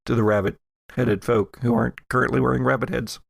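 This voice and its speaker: A man working as game master, improvising narration for a tabletop horror game in a halting, deliberate way with pauses. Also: mid-range voice, little pitch movement, gravelly, no room sound, studio quality. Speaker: game master of an actual-play horror podcast.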